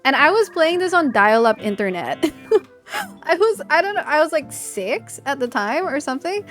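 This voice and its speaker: A woman's voice: high voice, sing-song, normal speed, fairly bright tone, soft background music. A young woman from the Philippines, chatty and enthusiastic, reading out viewer questions and answering them in a casual voice-over. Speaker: gaming YouTuber.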